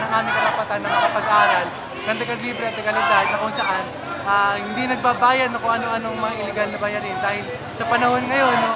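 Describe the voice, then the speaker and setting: A young student activist with a Filipino accent giving a spoken interview statement. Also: medium pitch. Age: young adult. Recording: very noisy outdoor crowd and traffic.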